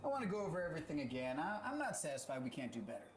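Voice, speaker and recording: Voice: medium pitch, sing-song, very fast, close to an everyday voice. An actor playing a condescending, self-assured male county attorney in a stage play. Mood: dissatisfied. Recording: noisy amateur recording.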